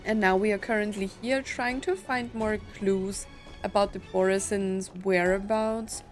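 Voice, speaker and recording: A woman gives casual gaming commentary at an unhurried pace, with a medium-pitched voice. She is chatty and thinks out loud as she plays, with faint music behind her. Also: fairly even intonation. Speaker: gaming streamer.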